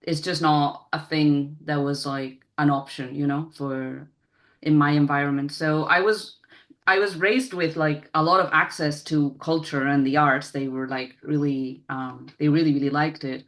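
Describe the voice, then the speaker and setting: A woman's voice: low voice, fairly even intonation, normal speed. A woman chatting casually as an interview guest, rambling and self-deprecating. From Spain.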